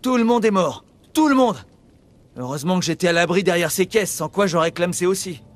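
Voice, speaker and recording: An actor playing a frightened, cowardly human survivor in a video game, nervous and rambling. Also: male voice, high-pitched, animated, brisk. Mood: agitated, rattled. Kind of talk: dubbed video game cutscene acting.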